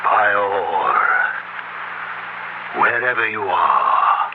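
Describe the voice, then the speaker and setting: A man's voice: low-pitched, big pitch swings, very slow, full character voice. An actor playing a sinister, commanding occultist man in a theatrical, incantatory 1970s radio horror style. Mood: solemn, commanding. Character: sinister, commanding occultist man summoning a spirit, turning to terror. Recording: noisy, muffled 1970s radio broadcast.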